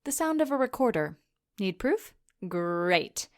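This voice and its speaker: A woman's voice: mid-range voice, sing-song, measured pace. An actress playing a sardonic, anxious young woman who narrates a confessional podcast drama in a conversational, sweary way. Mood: dry, rattled. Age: young adult. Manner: wry, sarcastic, sweary. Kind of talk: confessional scripted monologue, conversational.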